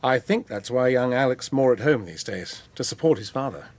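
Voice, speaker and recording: An actor playing a polite, deferential police inspector in a radio drama. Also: male voice, mid-range voice, animated, moderate pace, a little mellow. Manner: polite, formal, careful.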